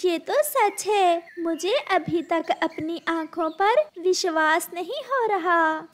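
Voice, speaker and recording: Woman as a slow-spoken, high mother bird. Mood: amazed. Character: kindly, sensible mother bird, honest and contented. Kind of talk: children's cartoon story acting.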